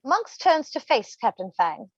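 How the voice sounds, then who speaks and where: A woman's voice: high-pitched, big pitch swings, a little slow, no echo. Dry, slightly dull woman narrator. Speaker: narrator reading stage directions. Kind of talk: scripted narration.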